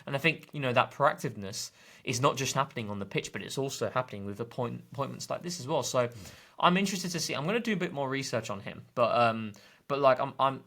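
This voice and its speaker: Man, medium pitch, podcast guest, football fan, informal discussion, discursive, repeated words. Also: expressive, fast, a bit bright.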